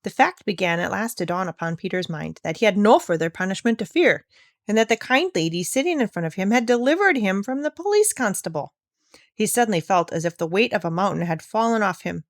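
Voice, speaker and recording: A woman's voice: medium pitch, lively intonation, fairly quick, no echo, clean studio sound. A woman reading a classic children's story aloud as scripted narration. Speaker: audiobook reader.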